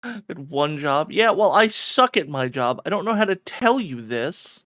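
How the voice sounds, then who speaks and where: Lively livestreamer bantering with chat, dry sound. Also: mid-range voice, measured pace, no room sound. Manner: excitable, profane, bantering with chat. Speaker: streamer. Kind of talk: casual livestream chat and reading a visual novel aloud.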